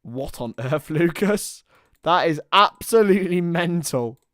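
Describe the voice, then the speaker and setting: A man's voice: fairly high pitch, animated, a little slow, a bit bright, dry recording, studio quality. A young man chatting with viewers and calling a football match live on a watchalong stream. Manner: chatty, jumps between match calls and banter with chat. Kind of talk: casual live commentary and chat with viewers.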